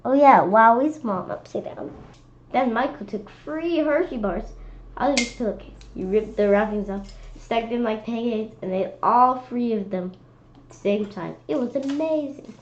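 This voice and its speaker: A woman reading a children's story aloud in an expressive, animated way, occasionally stumbling over words. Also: a little high, normal speed. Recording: dull lo-fi sound with clear background noise.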